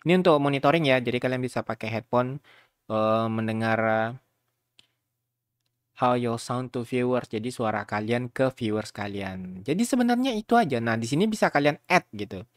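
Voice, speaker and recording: A man talks viewers through software in an informal, rambling way. His voice sits at a middling pitch. It is recorded close and dry, like a home tutorial voice-over. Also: animated, moderate pace, dry recording. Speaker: video tutorial host.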